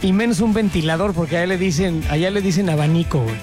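A man's voice: high-pitched, fast, music in the background. A man from Mexico City with a chilango accent, co-hosting a casual, joking, slang-heavy talk show. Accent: Mexico City Spanish. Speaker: podcast co-host. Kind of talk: casual banter.

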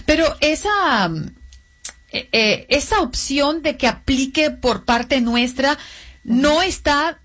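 A woman's voice: high-pitched, animated, slow, fairly bright tone. A woman hosting an interview, putting questions to a guest for an audience. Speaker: interviewer, host.

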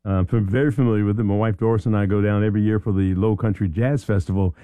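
Mellow-voiced male radio host. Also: on the low side, steady tone, quick pace, no echo. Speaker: radio talk show host. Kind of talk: conversational radio interview.

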